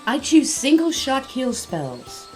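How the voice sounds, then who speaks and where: Woman playing an expressive, composed female spellcaster. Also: sits high, faint music behind, heavily acted. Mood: formal, composed. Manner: formal, deliberate presentation.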